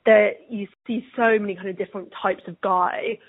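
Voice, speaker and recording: A woman's voice: medium pitch, steady tone, normal speed, slight background noise. A woman interviewer asking chatty, roundabout questions in a conversational interview over a phone line. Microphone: telephone call. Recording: tinny, band-limited phone line.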